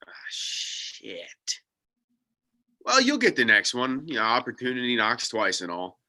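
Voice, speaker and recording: A man's voice: medium pitch, wildly swooping pitch, measured pace, sharp tone, exaggerated voice. A man acting an upbeat, earnest UFO-hunting sidekick who consoles and encourages, in a comedy table read. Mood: consoling, upbeat.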